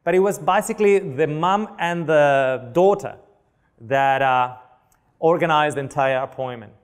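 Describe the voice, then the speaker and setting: A man's voice: a little high, unhurried, clean tone. A man from Spain with a Spanish accent, a clinical nutritionist giving a chatty seminar talk to an audience, asking them questions. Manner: chatty, asks the audience questions, joking asides.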